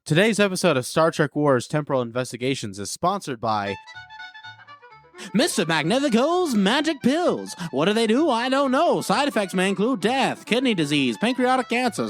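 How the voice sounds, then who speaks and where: A woman's voice: medium pitch, lightly acted. A woman voicing a zany announcer in a parody pill commercial, with exaggerated sing-song delivery. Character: zany, high-pitched fake pill advertisement announcer.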